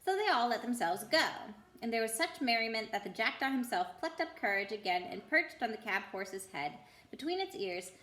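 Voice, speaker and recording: A woman's voice: on the high side, lively intonation, moderate pace, pushed and bright, reverberant, rough recording. A woman reading a children's book aloud expressively as a storyteller, with the odd stumble.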